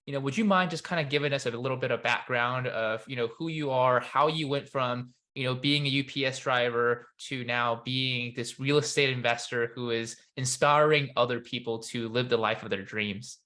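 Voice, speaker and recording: Young man, podcast host, upbeat, bright tense voice, condenser mic. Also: medium pitch, fairly even intonation, moderate pace, few pauses. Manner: upbeat and enthusiastic. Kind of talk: interview host intro. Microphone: studio condenser mic.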